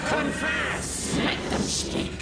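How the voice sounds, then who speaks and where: An actor voicing a wrathful male ghost in a video game, passing judgement in declamatory pronouncements. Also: background noise. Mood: furious, accusing. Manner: slow, declamatory, booming pronouncements. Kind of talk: theatrical video game acting.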